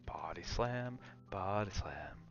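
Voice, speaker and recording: A man's voice: deep voice, animated, slow, dull audio, soft background music. A man chatting casually on an ASMR gaming livestream, rambling and drifting between chat and game reactions. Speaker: game streamer.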